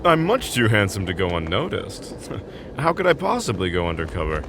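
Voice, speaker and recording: A man playing a vain, flippant gentleman thief in a game fandub, smug and playful. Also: lowish voice, wildly swooping pitch, normal speed, background noise. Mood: smug, teasing. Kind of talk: anime game fandub acting.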